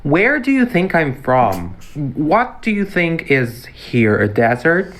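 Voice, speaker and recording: A man's voice: fairly high pitch, wildly swooping pitch, slow, fairly bright tone. A man from Turkey mocking a silly question with incredulity. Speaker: interviewee.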